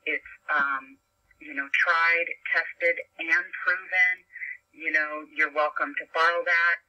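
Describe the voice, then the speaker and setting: A woman on a conference call, giving a halting, encouraging motivational talk as a home business marketer over the telephone. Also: low-pitched, fairly even intonation, pushed and bright. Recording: muffled telephone conference line.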